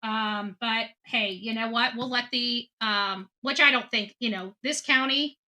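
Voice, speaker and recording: A woman's voice: a little high, unhurried, sharp tone. A woman in her forties giving impassioned, indignant off-the-cuff commentary, stressing points by repeating phrases. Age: 40s. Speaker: online commentator hosting a true-crime show.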